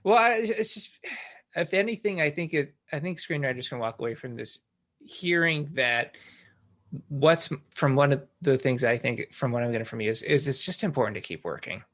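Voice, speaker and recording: 40ish guy hosting a podcast, bright sharp voice, stumbles and restarts a lot, enthusiastic. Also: medium pitch, moderate pace. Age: 40s. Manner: chatty, stammers and restarts, enthusiastic. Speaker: podcast host. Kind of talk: casual podcast interview.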